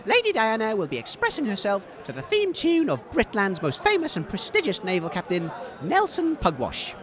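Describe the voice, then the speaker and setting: A man playing an excitable, easily flustered radio sports commentator in a comedy, with a gushing, theatrical delivery. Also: high-pitched, moderate pace. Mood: gushing delight. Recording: crowd noise behind the voice.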